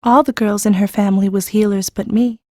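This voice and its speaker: A woman's voice: mid-range voice, fairly even intonation, a little fast, mellow, no room sound, clean studio sound, lightly acted. A woman playing a shy, plain-spoken country girl in her early teens in a fantasy audiobook, naive and hesitant, with rustic grammar. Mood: shy, earnest. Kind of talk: full-cast audiobook acting.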